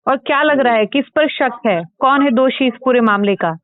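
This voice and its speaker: ऊँची आवाज़ वाला पुरुष इंटरव्यूअर. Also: male voice, very high voice, normal speed, no echo. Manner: polite, formal. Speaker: interviewer. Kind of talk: phone interview with brief acknowledgements. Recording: narrow tinny phone-line sound.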